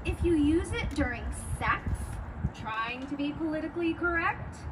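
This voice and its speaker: A woman's voice: high-pitched, lively intonation, moderate pace, recorded from a distance. A woman performing a comic monologue as a wry, outspoken character airing intimate grievances. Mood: mocking, amused. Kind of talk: comic monologue in a staged reading. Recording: very noisy live venue recording.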